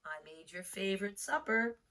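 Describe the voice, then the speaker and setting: A woman voicing a caring, encouraging animal mother in a children's storybook, gentle and coaxing. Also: medium pitch, lively intonation, normal speed, far from the mic, slight background noise.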